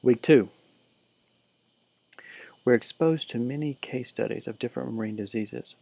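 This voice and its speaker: A man giving deadpan mock-documentary narration, reading out a team roll call for a class parody film. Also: mid-range voice, unhurried, gravelly, no echo, poor audio.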